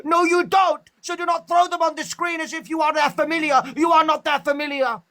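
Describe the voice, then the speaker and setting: A man's voice: extremely high pitch, flat delivery, fairly quick, bright, forceful tone. A man playing a pompous self-crowned vegan king who hosts a live stream and rants and boasts grandly. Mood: preachy outrage. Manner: preachy, grandiose ranting.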